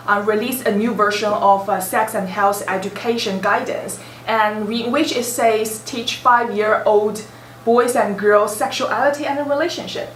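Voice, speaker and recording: A woman with a fairly high voice hosts a casual group chat, teasing her guests with questions. The sound is roomy and distant, with faint noise behind. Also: fairly bright tone. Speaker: host. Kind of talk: casual discussion. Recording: echoey room, far from mic.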